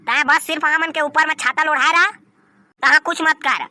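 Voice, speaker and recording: A bossy, wily young cartoon shopkeeper speaks in a very high, squeaky tone with a Chhattisgarhi accent. The delivery is scolding and piercingly bright, with a dry sound. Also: steady tone, moderate pace, no room sound. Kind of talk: comedy cartoon dub in Chhattisgarhi.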